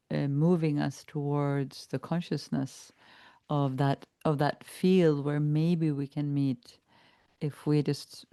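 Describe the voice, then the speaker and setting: A woman hosting a reflective conversation, explaining ideas calmly and laughing easily. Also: low-pitched, smooth, no echo, clean studio sound. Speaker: podcast host and trainer.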